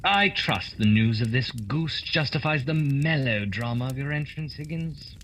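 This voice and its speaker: A man playing a coolly superior gentleman detective in a radio play, precise, theatrical and wry. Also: medium pitch, expressive, a little slow, slight background noise. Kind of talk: holiday radio play acting.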